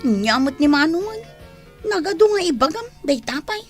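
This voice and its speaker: A woman's voice: high-pitched, very animated, normal speed, soft background music. A woman acting a vain, defiant young phone lover in a radio drama, flippant and pouty with an Ilocano accent.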